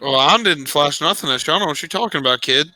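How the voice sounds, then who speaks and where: A man's voice: fairly high pitch, fast, sharp tone, no room sound, soft background music, low-quality recording. A man playing a tabletop roleplaying game, bantering casually in a blunt, cheeky way.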